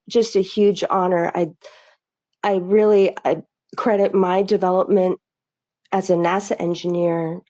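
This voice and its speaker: A woman's voice: fairly low pitch, mellow. A middle-aged woman, an aerospace engineer, speaking earnestly on a video call with many restarts and fillers. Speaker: aerospace engineer, webinar panelist. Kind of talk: reflective webinar remarks. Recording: slightly dull lo-fi call audio.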